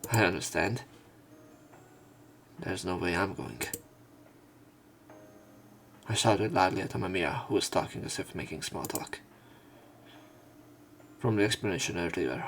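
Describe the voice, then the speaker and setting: A man's voice: sits low, a bit bright, a little hiss or hum. A man reading visual novel text aloud and commenting casually on it as a let's player.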